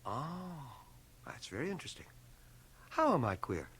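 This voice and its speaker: An actor playing a dry, ironic schoolmaster of nearly fifty in a radio drama, speaking in a measured, pedantic, sardonic way. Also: male voice, medium pitch, sing-song, a bit bright, breathy edge, heavily acted. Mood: mock-innocent. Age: middle-aged. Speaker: actor, narrator and lead. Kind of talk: 1980 radio drama acting. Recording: home cassette transfer of radio broadcast.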